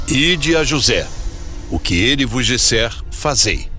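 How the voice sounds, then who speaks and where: A man's voice: mid-range voice, sing-song, slow, pushed and bright, soft background music, full character voice. A man playing a commanding, solemn king of Egypt in a dramatized audio Bible, speaking in a declamatory way. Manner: declamatory, proclaiming.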